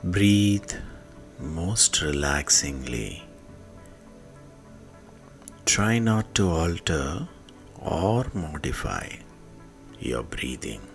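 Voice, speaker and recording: A man guiding a sleep meditation in a hushed manner, leaving long pauses and letting phrase endings fade into breath. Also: rumbling low, very animated, creaky. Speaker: meditation guide. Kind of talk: slow guided sleep meditation. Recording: soft music behind the voice. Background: soft music.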